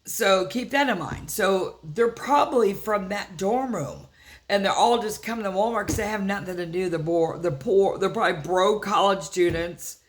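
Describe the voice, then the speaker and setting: An older woman with a deep, rough voice, rambling through a story to camera in her house, full of fillers and restarts. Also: moderate pace. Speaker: vlogger. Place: inside a house.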